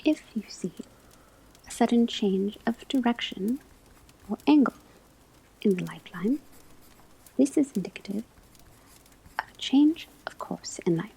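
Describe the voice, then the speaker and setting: A woman playing a gentle witch-school divination teacher in an ASMR roleplay, whispering breathily as she gives measured instruction. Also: fairly high pitch, animated, gravelly, slight background noise, rough recording. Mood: calm, instructive. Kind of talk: ASMR classroom roleplay lecture.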